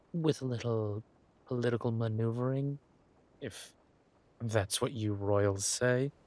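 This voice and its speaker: A man performs a suave villain king in an intimate audio drama. His voice sits a little low and moves very slowly, with halting pauses and purring hums. He is ruthless, yet teasing and loving toward his wife. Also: a little mellow.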